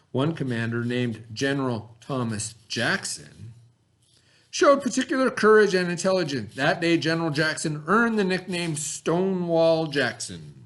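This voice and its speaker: Higher-voiced man reading aloud as a teacher. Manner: explanatory, pausing to gloss words and ask questions. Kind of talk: educational read-aloud with asides and questions.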